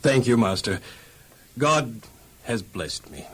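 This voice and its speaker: An actor playing a humble, devout young household servant in a children's audio Bible drama, deferential, modest and earnest. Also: male voice, medium pitch, big pitch swings, slow, fairly bright tone, slightly rough, exaggerated voice.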